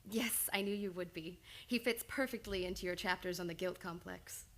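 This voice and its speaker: A woman playing a young, earnest psychiatrist with formal, measured speech in an old-time radio play. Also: fairly low pitch, a bit bright. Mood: calm, clinical. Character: young, reserved, earnest female psychiatrist, precise and clinical.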